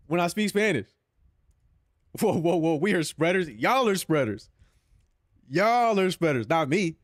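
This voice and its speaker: A man's voice: on the high side, sing-song, measured pace, long pauses, no room sound, clean studio sound. A young man with a Southern Californian accent, chatting casually on a stream in loose, profane banter full of "bro".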